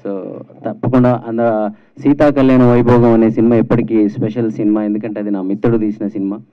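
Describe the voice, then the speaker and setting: Low, distant man on tinny sound. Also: level tone, brisk. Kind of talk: well-wishing speech at a film launch. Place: film launch event, at a microphone.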